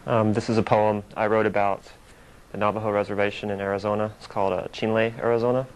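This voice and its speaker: A man's voice: on the low side, little pitch movement, quick pace, soft background music, rough recording. A man reading his own poem in short, broken, repeating phrases, like an incantation. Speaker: poet. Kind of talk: poetry reading.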